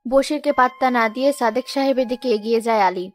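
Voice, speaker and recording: A woman's voice: high voice, fast talker, slight background noise. A woman reading scripted narration for a cartoon folk tale. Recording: music behind the voice.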